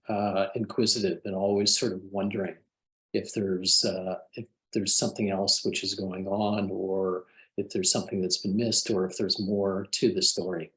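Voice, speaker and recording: A man's voice: on the low side, poor audio. A man who works as a psychiatrist, speaking on a webinar panel in a thoughtful, hesitant way with many fillers.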